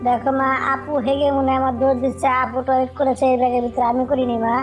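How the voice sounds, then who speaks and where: A woman's voice: sits high, level tone, fast talker, lightly acted. A woman voicing a little boy bird in a cartoon, pleading and protesting that he is wronged. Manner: pleading, repetitive denial. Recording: music behind the voice.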